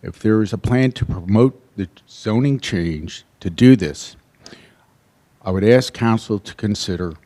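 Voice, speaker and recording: A soft-spoken man at a podium microphone, giving a public comment at a council meeting with plain, measured speech and some fillers. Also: fairly low pitch.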